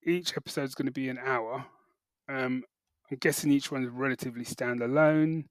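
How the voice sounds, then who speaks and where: A man's voice: mid-range voice, fairly even intonation, normal speed, dry recording. A British man co-hosting a podcast, explaining things in casual, informal chat with some hesitant phrasing. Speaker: podcast co-host reporting news.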